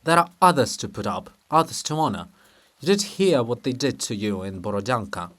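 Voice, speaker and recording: Animated monument of a statesman for a drama-farce, read by a man: teasing, blunt, jaunty, grandly reassuring. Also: medium pitch, fairly quick, pitched below the performer's own voice, close to an everyday voice. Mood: needling. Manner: teasing, theatrical, swings from blunt to grandly consoling. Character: brisk, needling, jaunty male monument of a statesman.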